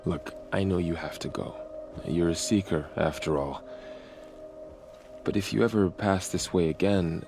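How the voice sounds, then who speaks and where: Young male warrior: deep, earnest, reserved, game cutscene with music behind. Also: a little slow, creaky. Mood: hesitant, fond. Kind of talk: video game cutscene acting.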